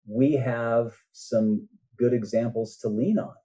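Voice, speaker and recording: A man speaking as a guest author in an interview, in an earnest, halting manner with deliberate pauses. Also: mid-range voice, fairly even intonation, mellow. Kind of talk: conversational interview answers. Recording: muffled, dull band-limited sound.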